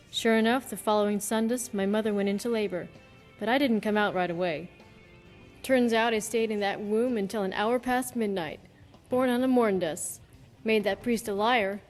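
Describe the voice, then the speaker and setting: A woman's voice: medium pitch, big-room sound, close to an everyday voice. A woman playing a wry, roguish blind adventurer in a video game, telling her tale with dry, sardonic humour. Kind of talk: video game companion monologue.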